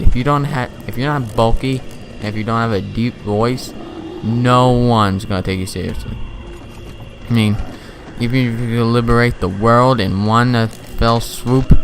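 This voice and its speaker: A young man in his teens rambling chattily while playing a video game at home at night, with jokey asides. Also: lowish voice. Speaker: gaming video host. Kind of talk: casual gameplay commentary. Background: game sounds and music.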